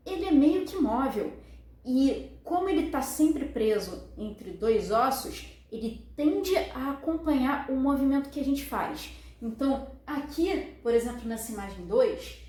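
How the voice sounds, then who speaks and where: A woman with a high voice explains step by step, walking through diagrams as a fitness instructor. Her manner is friendly and full of affectionate diminutives. The room around her is noticeably echoey. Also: a little mellow. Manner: friendly, uses many diminutives.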